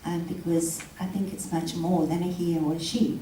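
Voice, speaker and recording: A woman's voice: lowish voice, level tone, normal speed, roomy sound, distant mic, a little hiss or hum, poor audio. A woman from South Africa speaking informally to a live audience, with many ums and frequent laughter. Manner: soft-spoken, many ums, laughs often. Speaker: guest speaker. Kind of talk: informal talk before an audience. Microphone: handheld mic.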